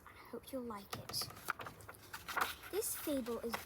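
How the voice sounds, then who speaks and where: A woman's voice: fairly high pitch, lively intonation, unhurried, heavy background noise. A woman reading a story aloud for children as the host of a storytime. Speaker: storybook reader, channel host.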